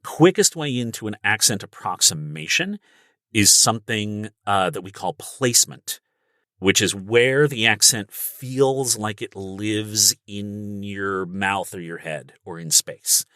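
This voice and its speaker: Bright-voiced man explaining, close and dry. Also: fairly low pitch, expressive, slow pace, dry recording. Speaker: guest voice performer and coach. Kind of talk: explanatory podcast talk.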